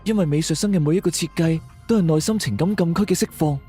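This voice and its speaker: A man playing a calm, perceptive investigator in an audio drama, explaining things in a measured way. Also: a little high, breathy edge. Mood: grave, analytical. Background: background music.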